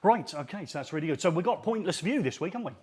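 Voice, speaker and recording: A man's voice: high-pitched, lively intonation, rapid-fire, a little mellow, breathy edge. A man hosting a live show, chatty and joking, telling stories. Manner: chatty, jokey storyteller. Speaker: host.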